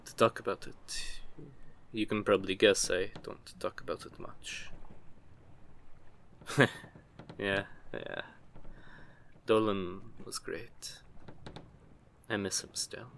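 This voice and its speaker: A man playing a gruff, guarded captured mercenary with a soft side, speaking hesitantly and sardonically in an intimate audio roleplay. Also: fairly low pitch, fairly even intonation, slow, long pauses, slight background noise, heavily acted. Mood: wistful, hesitant.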